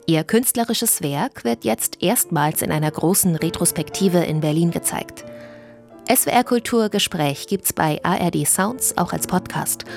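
A woman reading a scripted radio announcement in a smooth presenting manner. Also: lowish voice.

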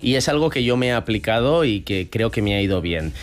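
Animated Spanish male host. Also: on the low side, a little fast, soft background music. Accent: Spain Spanish. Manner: animated, emphatic, laughs often. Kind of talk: casual panel chat.

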